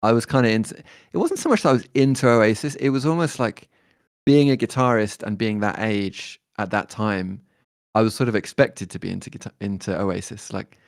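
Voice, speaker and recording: A man, talking as a guest musician in a relaxed interview, thoughtful and hesitant with many fillers and restarts. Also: fairly low pitch, a little fast, no room sound, studio quality. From born in Detroit, raised in London.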